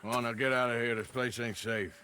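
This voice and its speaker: An actor playing a tough, weary outlaw enforcer in a video game, gruff, curt and commanding. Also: male voice, medium pitch, steady tone, fairly quick, pushed and bright, a little hiss or hum, natural voice. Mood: impatient, curt. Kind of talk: video game cutscene acting.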